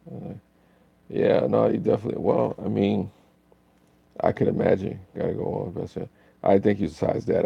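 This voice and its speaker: A man's voice: deep voice, dark tone, dry recording, lo-fi sound. A tired man, hosting a laid-back live stream and rambling through chat comments. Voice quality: raspy.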